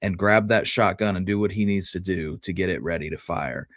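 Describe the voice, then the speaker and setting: A man's voice: low-pitched, a little fast, dry recording, studio quality. A man co-hosting a conversational history discussion, thinking aloud as he builds theories step by step. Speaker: podcast co-host.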